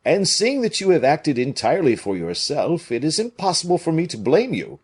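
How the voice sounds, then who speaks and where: A man reading an audiobook, voicing a big, blustering, naive but good-hearted nobleman with loud, emphatic exclamations. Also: on the high side, wildly swooping pitch, moderate pace, breathy edge, voice pushed up, full character voice. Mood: magnanimous, earnest.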